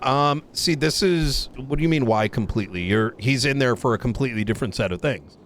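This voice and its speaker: A man's voice: mid-range voice, fairly quick, fairly bright tone, a little hiss or hum, lightly acted. Blunt, swearing police chief, played by a man. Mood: firm, insistent. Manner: argumentative, blunt, casual swearing. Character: blunt, foul-mouthed male police chief negotiating a deal. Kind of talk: improvised roleplay phone argument.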